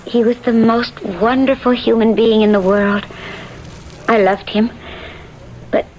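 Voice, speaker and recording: High voiced woman acting a jittery evasive widow, hesitant, melodramatic, old noisy radio play. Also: a little mellow, lightly acted. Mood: fervent, tender. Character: nervous, pleading, evasive widow hiding an affair.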